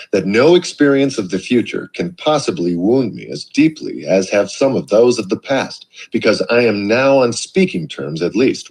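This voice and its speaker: A man narrates an audiobook with a husky, slightly breathy voice. His reading is measured and earnest. His pitch moves with plenty of life from phrase to phrase. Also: darkish tone, rough recording.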